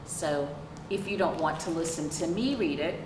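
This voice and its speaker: A middle-aged woman hosting a children's storytime, reading aloud with chatty asides, expressive drawn-out emphasis and questions to young listeners. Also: sits low. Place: small indoor room, seated on a couch. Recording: roomy, distant mic with clear background noise.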